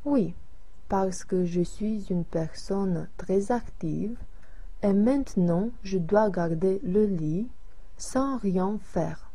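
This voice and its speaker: A woman's voice: mid-range voice, takes it slowly, dark tone, breathy edge. A woman reading a tired young woman with a sprained ankle. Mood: weary.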